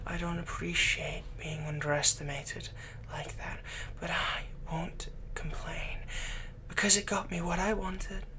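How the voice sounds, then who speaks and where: A man playing a smitten, possessive villain in an intimate ASMR roleplay, speaking softly and breathily as he comforts his captive. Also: a little high, full character voice. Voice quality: breathy, whispery. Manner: slow, soft, possessive, insinuating.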